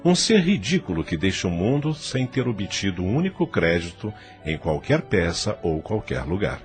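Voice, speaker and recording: Male narrator, Brazilian accent, deep voice, lively intonation, scripted audio novel, clear music bed. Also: normal speed.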